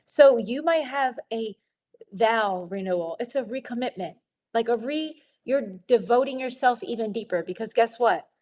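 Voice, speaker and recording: A woman coaching a women's group on their relationship with money in a gentle, encouraging teaching talk, sniffling a little and laughing often. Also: fairly high pitch, moderate pace.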